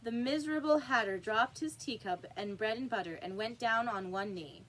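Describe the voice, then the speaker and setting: A woman's voice: fairly high pitch, moderate pace, a bit bright, rough recording. A woman reading a classic story aloud as scripted audiobook narration. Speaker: audiobook reader.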